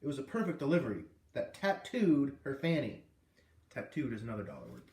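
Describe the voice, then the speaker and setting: A man reading a children's novel aloud in an expressive, animated way, with casual asides. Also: medium pitch, fairly quick. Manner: animated, puts on character voices. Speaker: read-aloud host. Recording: roomy, distant microphone.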